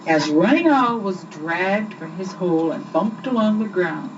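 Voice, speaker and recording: A woman's voice: on the low side, a little slow, far from the mic. Muffled, noisy recording of a young woman storytelling. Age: young adult. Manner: expressive, acts out the dialogue. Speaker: storyteller reading to children. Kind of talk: scripted children's story narration. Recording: muffled, noisy old amateur home recording.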